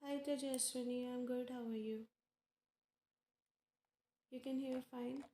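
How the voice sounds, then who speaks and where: A woman with an Indian English accent, hosting a live tarot stream and chatting casually with viewers by name, unhurried and full of fillers. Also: high-pitched, little pitch movement, warm tone. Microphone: earphones with mic. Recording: echoey room.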